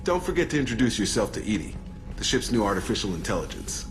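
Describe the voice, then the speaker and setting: A man's voice: fairly low pitch, sing-song, fast, music in the background. An actor playing a polite, welcoming male military officer in a video game. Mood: courteous. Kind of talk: video game cutscene acting.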